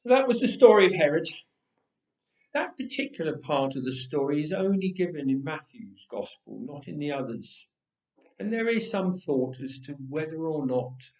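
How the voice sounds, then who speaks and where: A man narrates as a storyteller with deliberate, unhurried phrasing and dramatic pauses. His voice sits a little high for a man and has a clear roomy echo around it. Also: a little mellow. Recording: roomy echoing voice recording.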